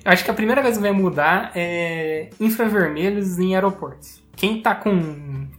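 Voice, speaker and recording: A woman's voice: on the low side, moderate pace. A Brazilian woman co-hosting a podcast, chatting casually and throwing in sarcastic jokes. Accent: Brazilian Portuguese. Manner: joking, sarcastic asides. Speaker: podcast co-host. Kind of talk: casual chat.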